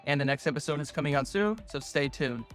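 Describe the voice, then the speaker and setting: Male promo announcer, slightly high pitch, scripted announcement, faint music. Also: lively intonation, fast, fairly bright tone.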